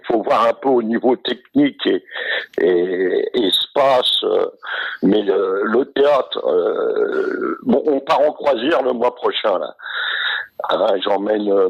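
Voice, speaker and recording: A man's voice: on the low side, takes it slowly, vocal fry, slightly rough, no room sound. An older man chatting casually over the telephone, hesitant and repetitive, with familiar slangy turns. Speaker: entertainer, cruise performer. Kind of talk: casual phone chat. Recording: dull, muffled phone line.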